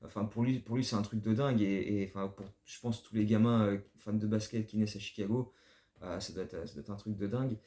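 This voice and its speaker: A man's voice: lowish voice, fairly even intonation, quick pace, mellow, reverberant. A man hosting a basketball fan channel, giving casual spoken commentary that rambles and often stumbles over repeated words.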